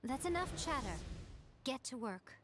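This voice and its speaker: An actress voicing a sly, poised shrine priestess with a teasing, arch manner in a video game cutscene. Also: female voice, sits high, sing-song, measured pace, very noisy. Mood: brisk.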